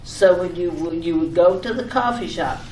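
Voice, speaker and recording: An actress playing a breezily insistent, mildly exasperated wife who coaxes and over-explains in old-time radio domestic comedy style. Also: female voice, lowish voice, moderate pace, darkish tone, lightly acted. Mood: insistent, over-explaining. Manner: chattering, coaxing, over-explaining. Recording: echoey, distant, slightly noisy old radio recording.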